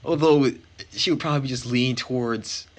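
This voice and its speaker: A man's voice: mid-range voice, normal speed. A man narrating a visual novel and commenting casually as he plays. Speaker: let's player. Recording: faint background noise.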